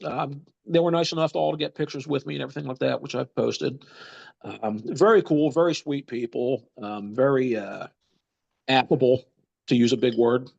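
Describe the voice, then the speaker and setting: A congested man with a gravelly, fry-laden voice tells concert stories on a casual podcast. His talk wanders, with lots of ums and you knows. The audio is somewhat dull and lo-fi. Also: medium pitch, moderate pace, darkish tone. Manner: chatty storyteller, many ums and you knows. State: congested, getting over a cold. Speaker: podcast host. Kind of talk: casual chat.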